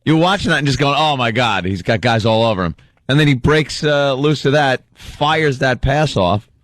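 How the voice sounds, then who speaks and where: A man's voice: mid-range voice, moderate pace, a bit bright, no room sound. A man co-hosting a radio show, chiming in and riffing with casual banter.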